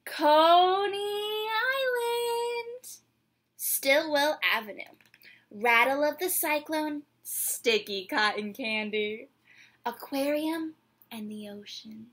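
Young woman reading a kids picture book, bright high voice, slightly husky, really expressive, takes her time. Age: 20s. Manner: theatrical, drawn-out words, engages young listeners with questions. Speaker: storyteller reading a picture book aloud to children. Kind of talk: expressive children's read-aloud. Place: small decorated room indoors.

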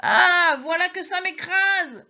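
A woman's voice: very high voice, takes it slowly, bright, forceful tone, pitched above the performer's own voice, exaggerated voice. A woman voicing an old man trapped under a cart, crying out in pain, on a dull lo-fi recording. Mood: anguished.

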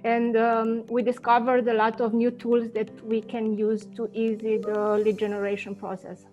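Interview guest, thirties woman, raised but flat delivery, earphone mic over a lo-fi video call. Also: a little high, soft background music. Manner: measured, hesitant with frequent fillers. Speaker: marketing head, interview guest. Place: indoor home office. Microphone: wired earphone mic on video call.

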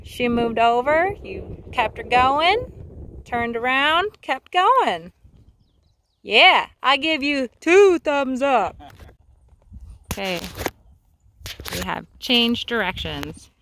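A woman coaching a horse rider in an outdoor arena, upbeat and teasing, quick to praise. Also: sits high, big pitch swings, a bit bright, slight background noise. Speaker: riding instructor.

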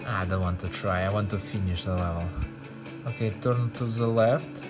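A man's voice: fairly low pitch, moderate pace, music in the background. A man in giving casual, playful gameplay commentary, thinking aloud as he plays. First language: not English. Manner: playful, thinking aloud, with sung-out sound effects. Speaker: gaming video host.

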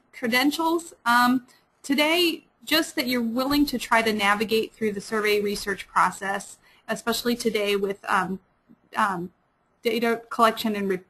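A woman librarian with a Midwestern accent giving a webinar lecture, talking fast with many fillers and restarts. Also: fairly high pitch, bright, forceful tone, rough recording.

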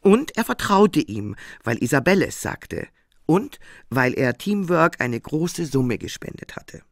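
A man's voice: mid-range voice, gravelly, dry recording, studio quality. An actor playing a matter-of-fact policeman explaining a case in a crime radio drama.

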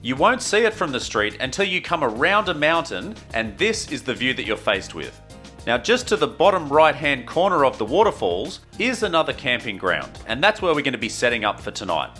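A man's voice: mid-range voice, very animated, fairly quick, sharp tone. A man narrating his travel vlog in an enthusiastic, storytelling voice-over. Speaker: travel vlogger. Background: faint guitar music.

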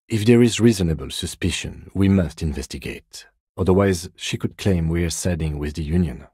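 A man playing a composed, dry-witted police lieutenant in a video game, speaking with measured, formal, deadpan courtesy. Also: very deep voice, breathy edge, dry recording. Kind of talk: video game character dialogue.

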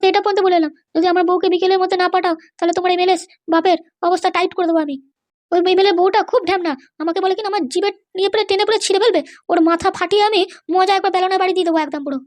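A woman's voice: very high-pitched, fairly even intonation, racing. A woman voicing an aggrieved, scheming older mother-in-law in a comedy cartoon, complaining and scolding. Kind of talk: exaggerated cartoon dialogue.

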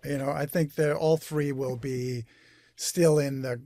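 Slow, halting older man. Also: medium pitch, fairly even intonation, dark tone, a little hiss or hum. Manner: halting, searching for words. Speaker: songwriter, interview guest. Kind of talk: casual conversational answer. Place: home recording studio, indoors. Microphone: video call.

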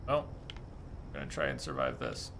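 A man's voice: very deep voice, very animated, normal speed, vocal fry, background noise. A man in his thirties giving casual, easygoing live commentary as he streams a game. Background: game music.

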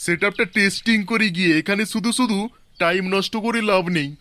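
A man's voice: high-pitched, a little fast, bright, forceful tone. A man voicing a bossy, combative cartoon village rival who talks in a swaggering, taunting, slangy way. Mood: urgent, bossy. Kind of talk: cartoon dialogue. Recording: music bed behind.

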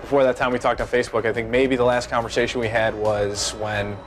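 Twenties male ex-ballplayer, loose unscripted answers full of "um" and restarts, office room with soft music bed. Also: medium pitch, level tone. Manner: halting, many fillers and restarts. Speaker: former pro baseball player. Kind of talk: seated interview, casual recollection. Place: high-rise office by a big window.

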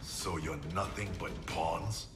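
A man's voice: sits low, fairly even intonation, takes it slowly. A man playing a fierce, defiant ninja warrior in a video game, speaking in a terse, firm way. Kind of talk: cinematic video game acting. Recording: echoey, under loud music.

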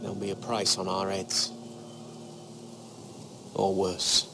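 A man playing a gruff, distrustful ranger in a video game drama, terse and sardonic. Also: low voice, completely flat delivery, slow. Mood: wary. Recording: rain and thunder noise behind.

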